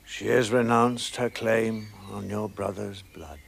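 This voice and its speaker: A low, gravelly lion king from a fantasy drama. He speaks slowly, with solemn calm and measured authority. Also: heavily acted.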